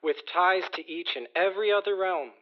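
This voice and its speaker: An actor playing a sly, dry adviser to an underworld prince, speaking formal archaic lines in an audio drama. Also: male voice, high voice, fairly quick, lightly acted. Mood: sly, persuasive. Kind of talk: audio drama acting in verse. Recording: dull, lo-fi radio drama sound.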